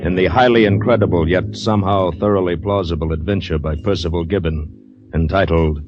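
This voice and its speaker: Deep-voiced radio announcer, loud music behind. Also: male voice. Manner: grave, theatrical, deliberate. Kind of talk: dramatic 1940s-50s radio narration. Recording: dull old radio recording, loud music.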